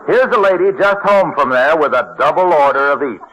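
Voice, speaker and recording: A man's voice: fairly high pitch, expressive, a little fast, fairly bright tone, rough recording. A man working as a radio announcer, reading scripted introductions and advertising copy in a polished, salesmanlike old-time style.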